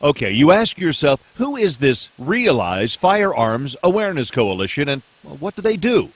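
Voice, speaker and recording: Lively male promo announcer. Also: on the high side, normal speed, husky, no room sound. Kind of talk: scripted radio promotional read.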